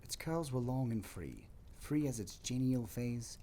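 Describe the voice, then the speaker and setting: A man narrating a dramatic story from a script in an expressive, theatrical manner. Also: mid-range voice, unhurried, a little hiss or hum.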